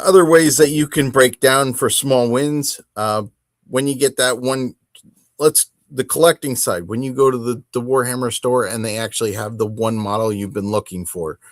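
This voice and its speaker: A man's voice: on the low side, no echo. Rambling man podcasting, close dry sound. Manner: rambling, informal, emphatic. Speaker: podcast host. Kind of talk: casual solo hobby podcast talk.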